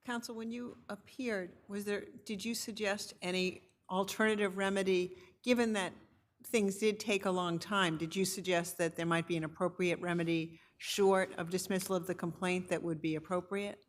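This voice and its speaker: A woman's voice: mid-range voice, fairly even intonation, moderate pace. A female appellate judge in a courtroom, asking formal, probing questions of counsel. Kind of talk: questioning counsel at oral argument.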